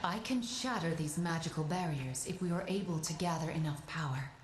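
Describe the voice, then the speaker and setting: A woman playing a calm, caring spirit mentor in a video game, speaking in a measured, formal, instructive way. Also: low voice, a little hiss or hum, lightly acted. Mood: calm guidance. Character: calm, caring female living sanctum spirit, mentor and guide. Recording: echoing voice under game music.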